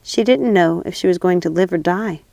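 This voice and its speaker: A woman's voice: fairly low pitch, normal speed, vocal fry, warm tone, no echo. A woman narrating a classic fairy tale as a storybook reader.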